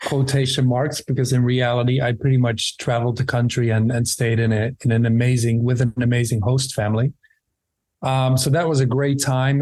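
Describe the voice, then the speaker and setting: A man's voice: mid-range voice, darkish tone. Guy, 30s, laid back podcast guest on a call, lots of uh and um. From Belgium. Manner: easygoing, hesitant with fillers. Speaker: podcast guest, documentary filmmaker. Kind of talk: casual interview chat. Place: home room with window.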